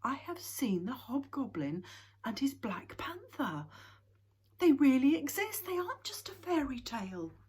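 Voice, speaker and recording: A woman voicing an adventurous male wanderer, a quietly thrilled loner who speaks in a hushed, wondering way. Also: sits high, sing-song, measured pace, pitched above the performer's own voice. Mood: awed.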